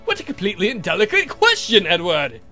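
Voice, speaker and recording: A man's voice: sits high, huge pitch swings, quick pace, pushed and bright, faint music behind, full character voice. A man voicing a suave, haughty vampire who lectures in a formal, condescending, theatrical way for a game.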